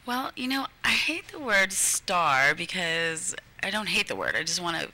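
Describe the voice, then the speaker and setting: A woman's voice: on the low side, big pitch swings, moderate pace, sharp tone, slight background noise, poor audio. A woman from Texas, a guest in a casual panel chat, blunt and giggly.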